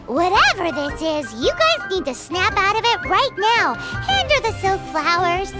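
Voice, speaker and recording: An extremely high, childlike fairy sidekick from a video game. She is squeaky, exclamatory and indignant, with hugely animated pitch and unhurried pacing, over prominent music. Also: full character voice. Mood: exasperated. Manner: squeaky, exclamatory, refers to self in third person. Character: tiny floating fairy companion, childlike, excitable, easily indignant.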